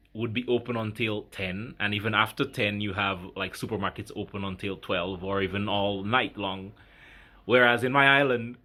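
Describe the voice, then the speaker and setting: A man in his thirties from Grenada, talking reflectively to his viewers in a halting, casual way with many fillers. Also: lowish voice, a bit bright. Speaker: vlogger. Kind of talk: casual talk to camera.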